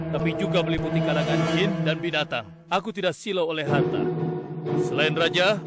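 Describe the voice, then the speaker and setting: A stern, devout cartoon king acted by a man, his voice a little high for a man. He declaims solemnly and formally, with great dignity. Music is clearly heard behind him. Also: close to an everyday voice. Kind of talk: dubbed animated religious story acting. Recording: loud music under the voice.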